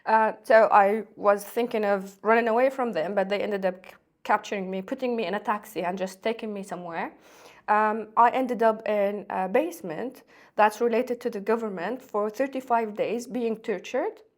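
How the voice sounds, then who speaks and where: A woman in her thirties, from Syria, talking as a podcast guest in a hesitant way with many pauses and restarts. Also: medium pitch. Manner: soft-spoken, hesitant, with many ums and restarts. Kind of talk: casual interview talk.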